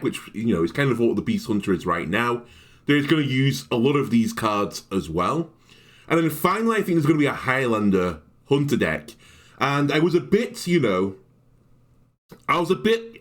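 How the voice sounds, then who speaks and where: Man with a raspy under-the-weather voice. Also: medium pitch. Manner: clears throat, thinks aloud with ums. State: sick, slightly deeper and raspier voice. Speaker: card game content creator. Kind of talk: card rating commentary.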